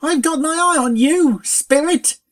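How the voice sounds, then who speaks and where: A narrator voicing a stubborn, excitable female pony in a storybook, harsh and forcefully insistent. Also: sits high, measured pace, pitched above the performer's own voice. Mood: suspicious, shrieking. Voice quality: harsh, raspy.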